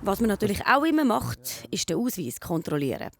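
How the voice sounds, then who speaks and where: A woman's voice: mid-range voice, big pitch swings, a little fast, creaky. A woman with a Swiss German accent presenting a children's show, explaining and asking questions in a casual reporting style.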